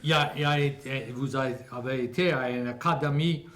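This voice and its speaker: A man with a Louisiana Cajun French accent hosting an interview, halting and full of fillers. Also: medium pitch, fairly even intonation, fairly bright tone. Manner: halting, many fillers and restarts. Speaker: host. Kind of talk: broadcast interview.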